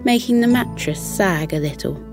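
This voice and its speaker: A woman narrating a children's bedtime story in a soothing, gentle, measured manner. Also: mid-range voice, lively intonation, music in the background.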